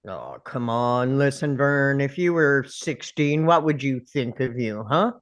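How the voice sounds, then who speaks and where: A woman's voice: rumbling low, lively intonation, measured pace. A woman reading a laid-back, blunt male school janitor who needles casually in a table read. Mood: unimpressed. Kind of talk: table read of a film script.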